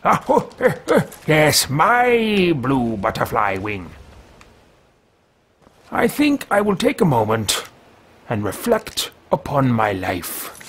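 A man in his thirties playing a haughty, greedy mage who mutters to himself in a pompous, drawn-out way, recorded at home. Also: medium pitch, huge pitch swings, fairly bright tone, slight background noise, full character voice. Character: haughty male mage-thief, self-talking, greedy. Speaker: let's play host. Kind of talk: in-character game roleplay. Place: home room with bookshelves.

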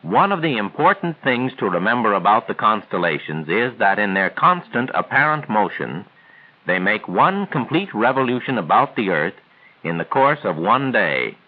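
A man's voice: on the low side, big pitch swings. Tinny 1940s male film narrator. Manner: measured, formal, didactic. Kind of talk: scripted 1940s instructional narration. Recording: tinny band-limited 1940s film soundtrack.

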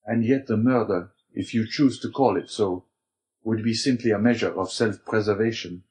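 A man's voice: lowish voice, moderate pace, darkish tone, lightly acted. A man voicing an eager, determined and honourable young male prisoner in an audiobook, speaking earnestly and formally. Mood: eager, persuasive.

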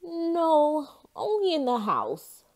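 Woman doing an excitable young boy, pitched up. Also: takes it slowly, full character voice. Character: eager young boy with a sneezing problem.

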